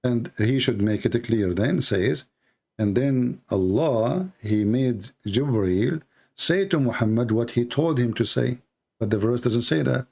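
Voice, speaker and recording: A man whose first language is Arabic argues in a heated religious debate. His voice is gravelly and sits in a middle range. He presses his point insistently and keeps repeating it. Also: mid-range voice, measured pace, a little mellow.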